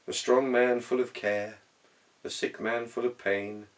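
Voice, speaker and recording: A man giving an expressive, theatrical and wry reading of a humorous literary essay, with measured dramatic pauses. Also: mid-range voice, far from the mic, low-quality recording. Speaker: audiobook reader.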